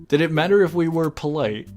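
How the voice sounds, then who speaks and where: Slow-paced man streaming a game over music. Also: medium pitch, darkish tone. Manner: informal, slangy, muttering choices to himself. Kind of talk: casual live game commentary, reading game text aloud.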